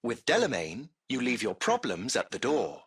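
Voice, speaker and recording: Gravelly, courteous AI chauffeur. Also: male voice, mid-range voice, huge pitch swings, moderate pace, a bit bright, exaggerated voice. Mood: formal, courteous. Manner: formal, polite, unflappable butler-like phrasing. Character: courteous, composed male AI of a luxury taxi service. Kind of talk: scripted video game dialogue.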